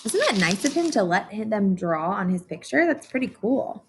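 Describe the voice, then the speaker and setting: A woman reading a picture book aloud to young children as a teacher does, pausing to explain and ask simple, encouraging questions. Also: mid-range voice, big pitch swings, background noise.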